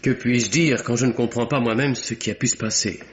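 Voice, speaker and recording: A man's voice: medium pitch, fairly even intonation, fairly quick, natural voice. An actor playing a polite, earnest bank cashier under accusation in a classic French radio drama, speaking formally in his own defence. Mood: earnest, pleading. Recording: dull, lo-fi old radio recording.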